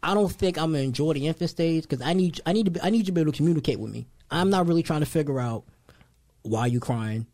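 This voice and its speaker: A man in his thirties hosts a casual podcast chat, loose and full of slang. His voice sits a touch high for a man. He gets animated when he makes a point. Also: fast. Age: 30s. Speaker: podcast host.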